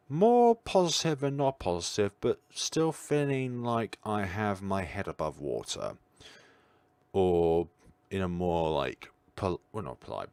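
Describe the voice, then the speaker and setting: A weary man talks casually to his stream, thinking out loud and answering viewers. His voice sits very low yet swings widely in pitch. The sound is close and dry, with no room around it. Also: gravelly, no room sound. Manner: musing, reading chat aloud. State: tired. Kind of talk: casual livestream chat.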